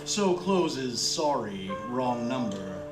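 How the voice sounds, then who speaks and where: Slow male radio anthology narrator over music. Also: mid-range voice, big pitch swings. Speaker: narrator and host. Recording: echoey, loud music underneath. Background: loud music.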